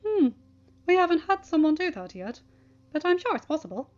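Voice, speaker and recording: A woman voicing a courteous, authoritative male school principal in a story reading, speaking in a formal, measured and accommodating manner. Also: extremely high pitch, very animated, soft background music, voice pushed up. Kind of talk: audiobook character voice for fan fiction. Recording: dull lo-fi home recording.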